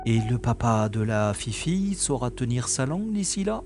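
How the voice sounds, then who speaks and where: An actor playing a brisk, wry special agent in a science-fiction audio drama. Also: male voice, medium pitch, big pitch swings. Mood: skeptical. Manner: terse, informal, questioning. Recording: echoey reverb with music.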